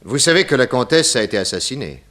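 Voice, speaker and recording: An actor playing a wry, matter-of-fact police detective, putting quick, businesslike questions in a 1960s French radio crime drama. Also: male voice, medium pitch, sing-song, fairly bright tone.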